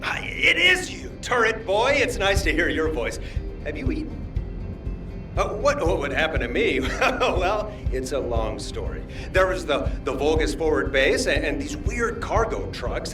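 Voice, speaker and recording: High-pitched, excitable young man. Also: a little slow, far from the mic. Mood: excited, rambling. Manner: chatty, rambling, excitable. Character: cheerful, scatterbrained young male comrade fond of feeding people. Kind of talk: video game cutscene acting. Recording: off-mic, music or noise behind.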